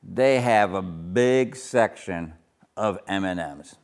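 An older man with a naturally loud voice, leading a Bible study group discussion in a casual, talkative way. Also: deep voice, slow pace. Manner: loud, gets louder when excited.

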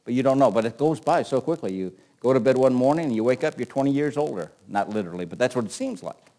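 A man's voice: medium pitch, animated, quick pace, warm tone. Older man preaching a conversational sermon. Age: 60s. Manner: emphatic, conversational, repeats phrases and poses rhetorical questions. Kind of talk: sermon with scripture readings.

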